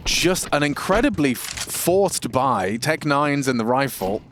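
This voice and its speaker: A man doing play-by-play esports commentary who gets excitable and jumps up in pitch on big plays. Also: a little slow, a little hiss or hum.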